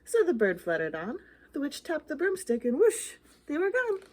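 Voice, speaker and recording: A woman with a fairly high voice reads a children's story aloud. Her delivery is expressive and rhythmic, leaning dramatically into the rhymes. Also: normal speed, a little hiss or hum. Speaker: library storytime reader.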